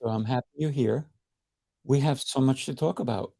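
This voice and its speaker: A man's voice: medium pitch, normal speed, creaky, warm tone. A man hosting a podcast interview over a video call, eager and chatty, often checking in with "right?". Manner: enthusiastic, repeats himself, frequent "right?". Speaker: podcast host. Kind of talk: casual interview.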